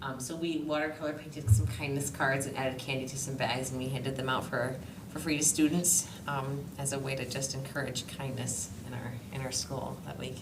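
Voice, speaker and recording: A woman's voice: rumbling low, flat delivery, moderate pace, hardly any pauses. A teenage young woman presenting informally as a student club member in a meeting room. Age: teens. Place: indoor school board meeting room. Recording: echoey, noisy meeting room.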